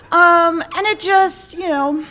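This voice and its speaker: A woman from central Florida, born in Norway, sharing a personal story informally with a group in a candid, self-deprecating way. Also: very high voice. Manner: candid, self-deprecating, animated when acting out moments. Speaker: speaker sharing a personal addiction recovery story.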